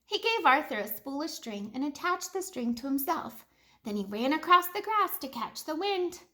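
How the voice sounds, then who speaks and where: High, very animated woman storybook reader. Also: moderate pace, roomy sound. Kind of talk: animated read-aloud children's story narration.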